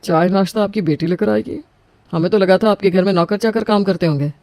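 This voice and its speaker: Rich, stately father-in-law for a story, acted by a man, high-pitched, gravelly. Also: a little fast, a little mellow, dry recording. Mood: doubtful. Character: wealthy, approving middle-aged prospective father-in-law.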